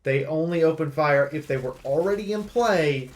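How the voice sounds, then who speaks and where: A man with a fairly high voice hosts a game preview, talking off the cuff. He is blunt and emphatic, laying out complaints in an aggrieved way. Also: normal speed. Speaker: video game preview host. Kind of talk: unscripted game review commentary.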